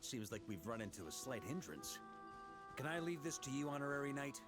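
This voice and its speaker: An actor playing a jovial middle-aged knight commander, genial and easygoing in a game. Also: male voice, mid-range voice, very animated, brisk, over music, natural voice. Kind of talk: video game voice acting.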